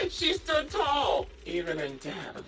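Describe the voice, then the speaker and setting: A woman's voice: high voice, wildly swooping pitch, fairly bright tone, recorded from a distance, faint music behind, heavily acted. An actress playing a sadistic, theatrical villainess in an anime game, teasing and mocking as she draws out her words. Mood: gleeful taunting. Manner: mocking, teasing, drawn-out delivery. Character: sadistic, theatrical villainess taunting a young sniper.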